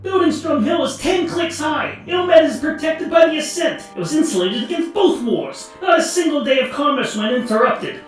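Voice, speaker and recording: Defiant, exasperated wizard male actor, comic fantasy drama; high voice, sarcastic bite, distant and echo-laden. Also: animated, normal speed, hardly any pauses. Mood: furious. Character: defiant, bitter male wizard wrestling his temper. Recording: echoey room, distant, music underneath.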